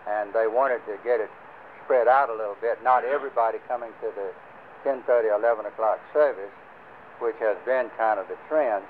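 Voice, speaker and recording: An older man leading a church group, talking casually with many pauses and fillers. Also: lowish voice. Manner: rambling, many fillers and restarts. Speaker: church class leader. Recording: lo-fi phone-like recording with faint hiss.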